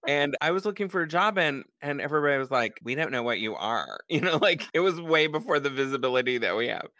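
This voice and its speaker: A woman's voice: low voice, expressive, brisk, a bit bright, no room sound, clean studio sound. A woman hosting a podcast, talking candidly and jokingly about her past and laughing often. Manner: frank, self-mocking, laughs often. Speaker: podcast host. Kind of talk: candid comedic chat.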